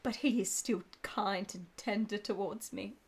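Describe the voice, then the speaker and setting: A woman's voice: mid-range voice, steady tone, measured pace, a little mellow, slightly rough. An actress playing a passionate, guilt-ridden married woman in a period radio drama, with theatrical emotion and formal phrasing. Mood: torn.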